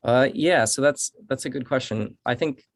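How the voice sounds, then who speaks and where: A man speaking as a researcher at an online academic seminar, explaining in halting phrases with repeated words. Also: mid-range voice, gravelly, no room sound. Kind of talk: academic presentation and answering questions.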